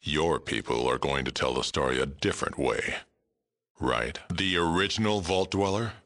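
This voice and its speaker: Game role: old super mutant lawman, extremely low and forceful, terse, weary, fair. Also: moderate pace. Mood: reflective, world-weary. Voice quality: gravelly, rumbling. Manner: blunt, terse, dry delivery. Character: gruff, aging super mutant sheriff, world-weary but fair-minded. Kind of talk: video game character dialogue.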